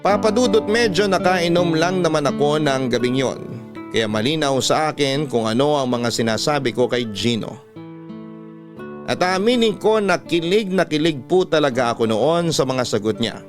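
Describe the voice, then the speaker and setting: A Filipino man narrating a letter as a radio storytelling host, in a measured, heartfelt first-person style. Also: mid-range voice. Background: music.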